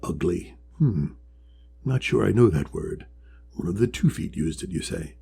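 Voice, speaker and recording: A man voicing a huge, ancient, wise male sea creature from a storybook, friendly and measured, with a deep voice. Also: expressive, creaky, mellow, a little hiss or hum, full character voice. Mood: gentle, thoughtful. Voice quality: deep, resonant. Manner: slow, measured, kindly pronouncements. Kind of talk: storybook character voice.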